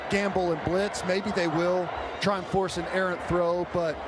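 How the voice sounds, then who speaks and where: A man's voice: high-pitched, level tone, normal speed. An American man giving live football analysis on the radio as a color commentator, explaining things conversationally from a stadium booth. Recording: loud stadium crowd and noise behind booth commentary.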